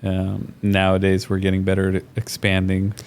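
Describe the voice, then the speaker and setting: A man hosting a podcast interview, a dentist himself, talking in a measured, agreeable way with frequent ums. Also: sits low, completely flat delivery, slight background noise.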